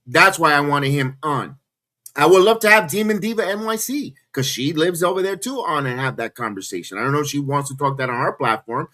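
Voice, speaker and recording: A man's voice: fairly high pitch, lively intonation, brisk, pushed and bright. A man hosting a wrestling podcast, talking earnestly and passionately in casual chat, often restarting his sentences. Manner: earnest, repeats himself when worked up.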